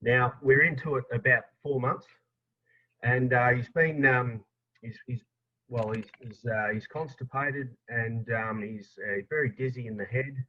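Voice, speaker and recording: Halting Australian man on lo-fi call. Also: medium pitch, fairly even intonation. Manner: halting, hesitant. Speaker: caller. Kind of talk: asking a question in a live online Q&A. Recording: dull, lo-fi online call.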